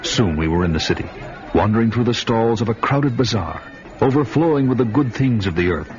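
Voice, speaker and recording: A man's voice: fairly low pitch, expressive, a little fast, crowd noise, lightly acted. An actor playing a grim, bold barbarian warrior who tells his vengeance quest in a solemn, archaic, theatrical way for a fantasy radio drama. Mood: brooding narration. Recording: dull radio recording with loud music.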